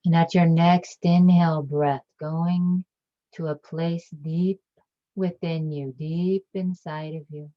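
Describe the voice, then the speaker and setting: Slow, low-voiced woman guiding meditation. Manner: calm, gentle, unhurried with long pauses. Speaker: guided meditation teacher. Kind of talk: guided meditation, slow soothing instruction. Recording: dull lo-fi recording.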